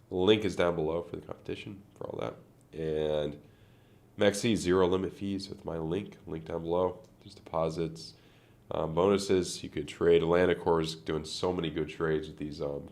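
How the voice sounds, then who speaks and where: A man's voice: sits low, darkish tone. A man streaming live crypto chart commentary, rambling and thinking aloud with many fillers, sounding nasal and congested from a sinus infection.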